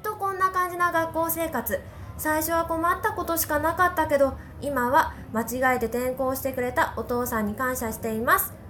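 A woman's voice: high-pitched, steady tone, moderate pace, fairly bright tone. A woman playing an earnest, friendly and polite schoolgirl transfer student at a magic school in an amateur audio drama. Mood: friendly, calm. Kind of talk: amateur audio drama acting with first-person narration. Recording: clearly noisy amateur home recording.

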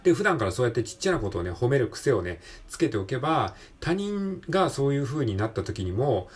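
A man's voice: mid-range voice, sing-song, a little fast, breathy edge. A man hosting a solo podcast, talking casually and encouragingly, often checking in with the listener for agreement. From Japan. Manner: encouraging, conversational, repeatedly seeking agreement. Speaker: podcast host. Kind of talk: casual solo motivational talk.

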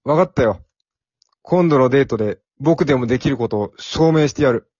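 A man's voice: mid-range voice, expressive, fairly quick, darkish tone, no room sound, dull audio, natural voice. A man playing a timid, earnest young office worker in an amateur radio drama, with plain boyish phrasing. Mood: protesting.

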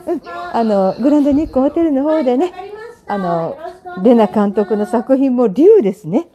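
A woman's voice: sits high, animated, normal speed, mellow. A woman hosting a casual show, chatting effusively and politely in a rambling, unscripted way. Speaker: host of an audio app show; visual artist and designer. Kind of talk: casual unscripted chat with guests and announcements. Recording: crowd chatter behind, live on the spot.

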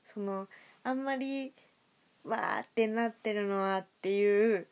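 A woman's voice: mid-range voice, measured pace. A woman hosting an audio drama show, chatting casually and chattily with a guest. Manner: chatty, frequent backchannels.

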